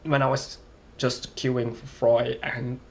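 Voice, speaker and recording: A man's voice: mid-range voice, flat delivery, slow, gravelly, a little hiss or hum. A young man from Flanders, rambling and hesitant as he complains in a casual vlog. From Belgium, Flanders. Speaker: vlogger.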